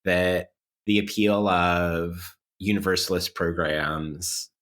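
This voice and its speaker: A man co-hosting a podcast in a reflective conversational style, thoughtful and halting, circling his points with many qualifiers. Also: sits low, level tone, fairly bright tone. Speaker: podcast co-host.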